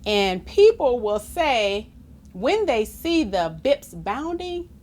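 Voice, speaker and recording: A woman reads a children's rhyming book aloud with theatrical flair. Her voice sits fairly high and swings widely in pitch, and she takes her time over the lines. She chats to young listeners as she goes. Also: slow. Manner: expressive, theatrical, chatty asides to young listeners. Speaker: storybook reader.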